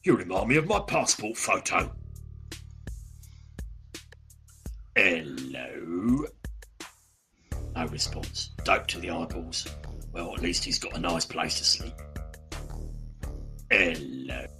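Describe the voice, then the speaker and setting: An actor playing a gruff, crude, cynical male police detective, talking in a dry, sarcastic way. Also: sits low, lively intonation, takes it slowly, pauses often, sharp tone, faint music behind, heavily acted. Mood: grumbling.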